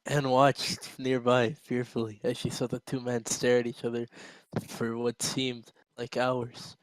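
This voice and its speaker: A man's voice: medium pitch, monotone, a little slow, no room sound, low-quality recording. A man reading a story aloud informally, stumbling and amused. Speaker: amateur reader. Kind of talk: casual read-aloud of a story.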